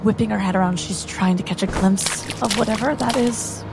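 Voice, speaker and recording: A woman narrating her character's actions in a tabletop role-playing game, with deliberate, descriptive, dramatic storytelling. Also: mid-range voice, fairly even intonation, creaky, music in the background.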